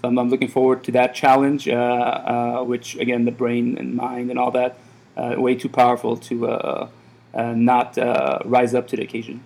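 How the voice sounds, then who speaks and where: A man in his thirties talks loosely and at length, circling back with many fillers and false starts. He was born in Iran and runs a café, and this is a relaxed, casual interview. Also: mid-range voice, normal speed, creaky. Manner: rambling, many fillers and restarts. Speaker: café owner.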